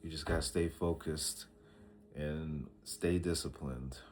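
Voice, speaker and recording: A man in his early thirties talking to a camera, giving casual life advice in a slow, reflective way, often repeating himself with fillers and restarts. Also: rumbling low, fairly even intonation, darkish tone, slight background noise. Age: 30s. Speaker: vlogger.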